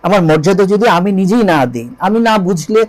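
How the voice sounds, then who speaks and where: A high-voiced man with a Bangladeshi accent answers as an interview guest. He speaks earnestly and emphatically, repeating key phrases and posing rhetorical questions, with English words mixed in. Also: animated, normal speed, darkish tone. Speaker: interview guest, songwriter and composer.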